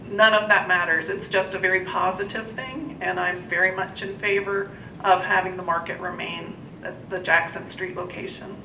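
Flat-toned American woman, noisy room. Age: middle-aged. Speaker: local business owner speaking at public comment. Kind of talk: public comment speech. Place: indoor council meeting room. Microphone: gooseneck podium microphone. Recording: roomy, distant mic, noisy rumble.

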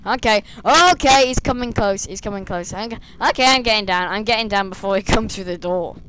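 A young woman, slightly hoarse and losing her voice, chatting and rambling as she plays a stealth game at her desk, talking to the enemies on screen. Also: medium pitch, fairly quick, fairly bright tone, a little hiss or hum, poor audio. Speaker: gameplay streamer. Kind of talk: casual gameplay commentary. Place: small room indoors, seated at a desk.

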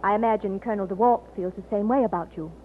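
An actress playing a tender but bold young bride-to-be in an old radio romance, with a gentle, theatrical delivery. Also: female voice, medium pitch, fast, close to an everyday voice. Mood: firm, tender. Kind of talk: 1940s radio acting. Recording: tinny, noisy old radio recording.

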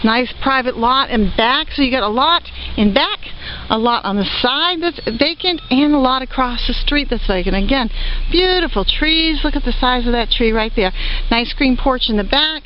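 A woman's voice: sits high, expressive, normal speed, a little hiss or hum. A woman giving an upbeat, chatty walking tour of a house, with lots of fillers, recorded on a handheld camera mic as she moves indoors and out. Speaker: real estate auction previewer. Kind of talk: walking property tour commentary. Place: indoors and outdoors around a house. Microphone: handheld camera mic while walking. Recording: dull lo-fi camera audio.

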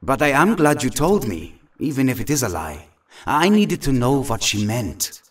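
A man's voice: medium pitch, very animated, normal speed, recorded from a distance, natural voice. An earnest young mutant hero with a troubled heart, played by a man for an audio drama. His mid-range voice swings expressively and sits slightly back from the microphone. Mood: troubled, hesitant.

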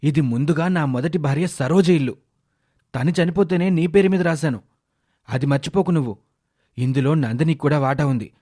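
A man voicing a caring middle-aged father and husband, earnest and reasoning, in an animated moral story. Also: fairly high pitch, normal speed, natural voice. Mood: firm, reproachful.

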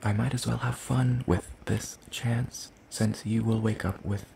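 Low, gravelly, soft-spoken demon played by a man. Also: steady tone, a little hiss or hum, low-quality recording. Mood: calm, confiding. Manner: hesitant, formal, slow and deliberate. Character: gentle, curious, one-eyed soul-leeching demon creature. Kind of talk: ASMR roleplay, soft speaking.

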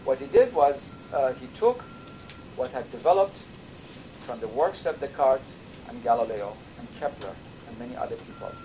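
An older man, a native Persian speaker from Iran, giving a measured university lecture with thoughtful pauses. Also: mid-range voice, lively intonation, mellow, rough recording. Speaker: professor. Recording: lecture recording with audible background noise.

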